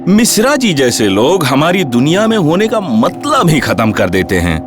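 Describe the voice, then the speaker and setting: A man's voice: on the high side, wildly swooping pitch, moderate pace. A man playing a battered, well-meaning biscuit box in a training film, pleading and full of grievance. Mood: bitter. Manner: aggrieved, pleading. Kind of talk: acted dialogue in a training film. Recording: loud music behind the voice.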